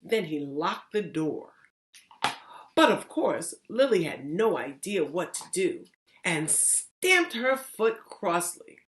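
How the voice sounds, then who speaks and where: A woman hosting storytime, reading a children's story aloud with expressive, deliberate phrasing. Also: on the low side. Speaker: storytime host, reading teacher.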